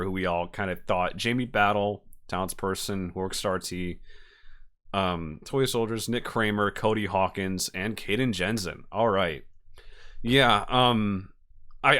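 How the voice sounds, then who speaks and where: Male reaction host, slightly low voice, halting and emotive, off-the-cuff commentary. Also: fairly bright tone. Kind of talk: unscripted casual reaction commentary.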